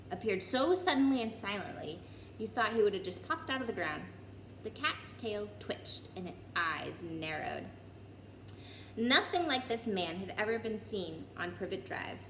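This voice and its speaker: A woman reading a children's story aloud in an expressive way, with occasional small stumbles over the text. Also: medium pitch, normal speed. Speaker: story reader. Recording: roomy echo, clear background noise.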